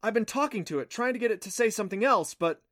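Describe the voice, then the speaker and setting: Man putting on an excitable, high-pitched young girl adventurer. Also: a little fast, no echo, clean studio sound. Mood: excited. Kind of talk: audiobook character voice.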